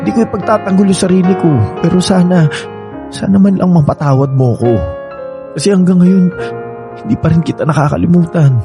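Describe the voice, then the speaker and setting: A man's voice: high voice, a little fast, music in the background. A Filipino man playing a remorseful, pleading ex-boyfriend in a radio drama, earnest in delivery. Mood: remorseful, insistent.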